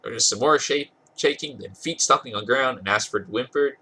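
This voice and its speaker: A man's voice: medium pitch, normal speed, pushed and bright. A man with a congested voice doing an amused dramatic reading of a comic story, stumbling over words now and then and correcting himself. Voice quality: husky, congested. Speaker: podcast host reading fan fiction aloud.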